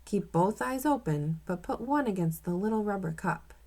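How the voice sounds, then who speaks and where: A woman reading aloud as a calm, knowledgeable man who explains things in a children's storybook. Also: medium pitch, normal speed. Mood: instructive. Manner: instructive, matter-of-fact.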